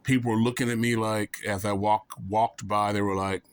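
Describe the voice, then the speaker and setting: A man's voice: on the low side, flat delivery, moderate pace, smooth, dry recording. A man vlogging in a casual, comedic storytelling style, rambling and folksy, often making fun of himself. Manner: rambling, self-mocking, folksy.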